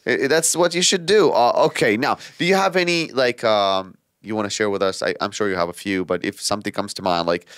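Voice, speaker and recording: A comedian from Israel hosting a casual podcast chat, animated and quick to laugh. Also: male voice, on the low side, clear, resonant tone, no echo, clean studio sound. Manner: animated, laughs often, self-deprecating jokes. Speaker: podcast host; stand-up comedian.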